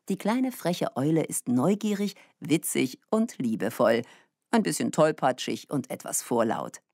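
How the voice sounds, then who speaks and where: A man's voice: medium pitch, creaky, darkish tone, no room sound, studio quality. A man reading scripted narration for children as a storyteller.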